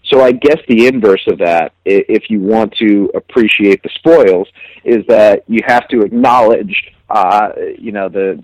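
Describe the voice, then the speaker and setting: A man with a lowish voice talks sports analysis in a casual way. He is reflective and halting, often repeating words as he works through a thought. The recording is dry and close. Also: darkish tone, no echo, rough recording. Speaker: sports journalist guest.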